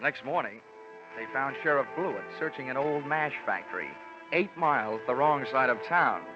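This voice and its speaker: A man's voice: medium pitch, animated, normal speed, over music, lightly acted. An actor playing a wry, wisecracking insurance investigator who narrates his case in a 1940s radio detective drama. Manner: wisecracking, sardonic. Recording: muffled vintage radio recording.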